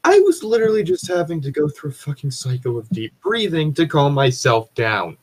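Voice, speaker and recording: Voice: medium pitch, huge pitch swings, brisk, breathy edge. An anxious, exasperated podcast co-host pleading and swearing in casual chat with friends. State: anxious, stressed.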